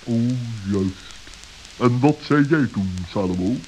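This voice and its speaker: A man voicing a pompous, suspicious, scholarly owl with a wordy, deliberate manner in a children's radio play. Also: mid-range voice, expressive, dark tone. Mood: reproachful. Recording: hissy, crackly old audio recording.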